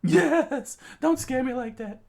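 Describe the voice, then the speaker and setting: A man's voice: very high-pitched, animated, slow, creaky, pitched above the performer's own voice, exaggerated voice. Woman doing a jumpy flustered young man. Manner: flustered, stammering.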